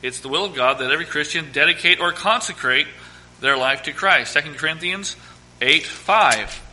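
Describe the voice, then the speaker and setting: A man preaching a sermon as pastor, hoarse from a cold, reading scripture slowly and with emphasis. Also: a little high, sharp tone, low-quality recording. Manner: reads scripture slowly and emphatically, asks congregation to quote verses.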